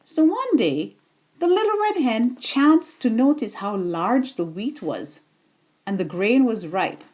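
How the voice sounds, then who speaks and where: A woman reading a children's bedtime story aloud in a deliberate, expressive storytelling manner. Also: fairly high pitch.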